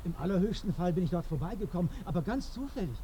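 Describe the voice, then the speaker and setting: A man's voice: high-pitched, expressive, fast talker, warm tone, slight background noise, low-quality recording. An actor playing a murdered man in a radio play, insistently protesting and justifying himself from the grave. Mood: pleading, defensive. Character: murdered man, pleading, in denial from his grave. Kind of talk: radio drama monologue.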